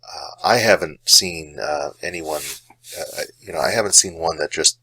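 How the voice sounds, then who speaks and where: A man giving a casual, unscripted explanation as a hobbyist host, halting and hesitant, with frequent pauses and a gravelly voice. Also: very deep voice, bright, forceful tone, no room sound.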